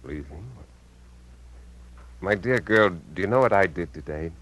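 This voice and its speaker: An actor playing a smooth, menacing middle-aged uncle with an American accent in a 1950s radio drama. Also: male voice, sits low, expressive, fairly bright tone, heavily acted. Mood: smooth, calculating. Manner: smooth, persuasive, halting under pressure. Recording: vintage 1950s radio broadcast.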